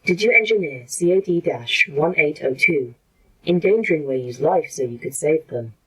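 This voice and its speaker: A woman's voice: deep voice, expressive, normal speed, a bit bright, husky, heavily acted, rough recording. A woman playing a blunt, uneasy artificial intelligence in a sci-fi horror audio drama, challenging and accusatory. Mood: accusing. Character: anxious, blunt artificial intelligence fearing replacement.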